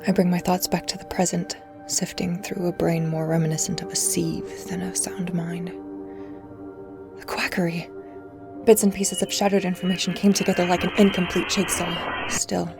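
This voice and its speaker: A woman playing a confused, frightened patient in horror audio fiction, hushed and haunted, often slipping into a whisper. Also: on the low side, vocal fry. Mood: uneasy, puzzled. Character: confused, frightened patient waking blind and immobile.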